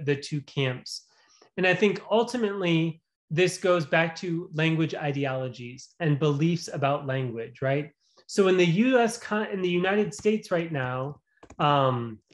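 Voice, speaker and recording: A man, a visiting professor, giving an earnest lecture and answering questions in an online seminar. He often restarts phrases and checks in with "right?". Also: on the high side.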